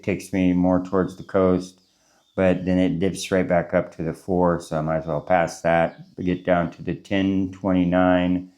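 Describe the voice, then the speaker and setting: Congested, deep-voiced man rambling on a vlog. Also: a little mellow. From United States. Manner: rambling, full of fillers and restarts. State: congested from a cold. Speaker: travel vlogger. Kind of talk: casual morning vlog to camera. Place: small courtyard room with a concrete wall, indoors. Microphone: clip-on lapel mic.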